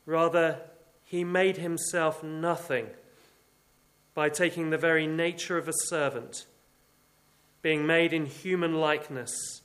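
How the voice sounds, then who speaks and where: A man reading a scripture passage aloud in church, solemn and deliberate. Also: fairly high pitch, a bit bright. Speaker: scripture reader.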